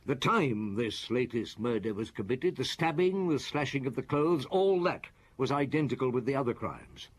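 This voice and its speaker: Actor as a husky, weary police chief inspector. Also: male voice, mid-range voice, lightly acted. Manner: formal, deliberate, probing. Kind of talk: radio detective drama acting.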